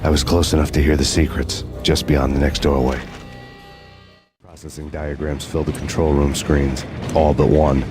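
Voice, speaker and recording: A haunted, hardboiled detective narrates his own story, in an extremely low voice. The delivery is deadpan and brooding, world-weary throughout. Clear music plays behind him in this video game noir. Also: moderate pace. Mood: tense, brooding.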